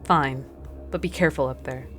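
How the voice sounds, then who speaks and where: A woman's voice: extremely low pitch, normal speed, fairly bright tone. A woman playing a stern, cautious expedition leader in a radio drama, commanding and no-nonsense. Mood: wary. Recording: faint guitar music behind.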